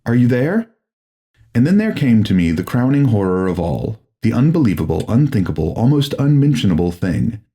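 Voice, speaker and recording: A man reading a horror audiobook as a grave, formal, deliberate and dramatic narrator. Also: fairly low pitch, big pitch swings, mellow.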